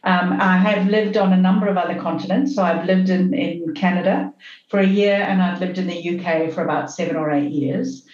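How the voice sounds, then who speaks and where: A woman's voice: lowish voice, steady tone, darkish tone. Reflective woman guest, echoey lo-fi sound. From Zimbabwe. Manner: reflective, halting with many restarts. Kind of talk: casual interview storytelling.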